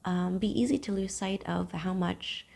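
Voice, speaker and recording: A young woman hosting a knitting podcast from home. She is hoarse from a cold and talks gently to camera, with thoughtful pauses. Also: lowish voice. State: hoarse, losing her voice from a cold. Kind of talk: casual chat to camera. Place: at home, seated.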